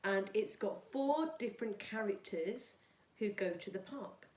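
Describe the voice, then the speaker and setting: A woman's voice: mid-range voice, expressive, unhurried, darkish tone. A woman teacher speaking to young children as she introduces a storytime read-aloud. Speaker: primary school teacher reading a story to her class. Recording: echoey, roomy sound.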